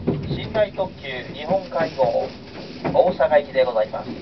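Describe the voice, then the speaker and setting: A man working as a train conductor makes a formal, polite, measured announcement over an onboard public address speaker. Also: lively intonation. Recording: muffled train PA, loud carriage noise. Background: rumble of moving train.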